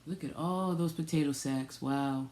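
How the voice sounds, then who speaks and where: Female storytime reader, fairly low voice, very unhurried, reads picture-book text and points things out to young listeners. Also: darkish tone, a little hiss or hum. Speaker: storytime reader for a children's theatre. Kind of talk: read-aloud picture book storytelling with asides.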